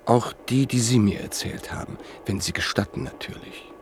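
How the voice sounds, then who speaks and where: An actor playing a reflective writer who narrates in a measured, literary way in a radio play. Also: male voice, mid-range voice, fairly even intonation, noisy background, lightly acted. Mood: polite. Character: reflective male writer and book collector, narrator.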